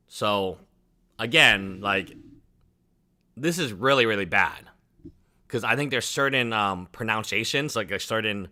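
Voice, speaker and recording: Forceful low-voiced man, chatty streamer. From China. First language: Chinese. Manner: rambling, heavy use of "like" fillers. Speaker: livestream reaction host. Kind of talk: casual reaction commentary.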